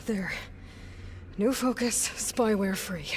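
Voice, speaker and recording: A woman's voice: mid-range voice, takes it slowly, a little hiss or hum, lightly acted. Defiant young warrior woman, played by an actress. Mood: tense muttering. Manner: muttering to self, sharp-tongued. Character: defiant, determined young female warrior, a clone. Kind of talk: cinematic video game acting.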